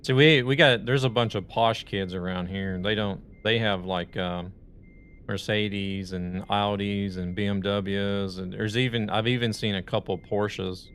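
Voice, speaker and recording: A man's voice: lowish voice, fairly even intonation, a little slow, a bit bright, a little hiss or hum. A man live-streaming a truck game, chatting in a rambling, conversational way and greeting viewers. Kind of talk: casual live-stream chat while playing a truck game.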